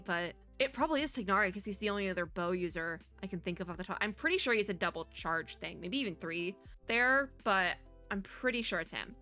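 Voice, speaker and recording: A woman with a bright, forward voice talks casually through a game reaction. She is animated and rambling, thinking out loud as she switches between reading and commenting. Music plays behind her voice. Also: medium pitch. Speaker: VTuber reaction video host.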